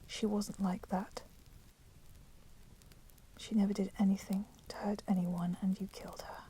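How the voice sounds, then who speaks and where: A female vampire captor, acted by a woman, whispers slowly and deliberately in a soft, dark-toned voice. She is coolly menacing and possessive, with formal phrasing. It is an intimate ASMR roleplay, with a fire crackling steadily behind her. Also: medium pitch, natural voice. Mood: bitter, accusing. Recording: steady background noise, crackling fire sound.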